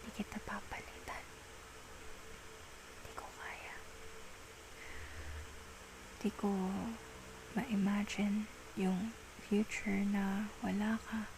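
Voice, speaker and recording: Woman acting a soft, slow-talking Filipino girlfriend. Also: mid-range voice, flat delivery, background noise. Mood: loving, earnest. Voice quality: soft, breathy, often slipping into whispers. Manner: slow, tender, mixes Tagalog with English. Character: affectionate, patient, reassuring young girlfriend soothing a partner. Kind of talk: girlfriend ASMR roleplay, soft intimate speech.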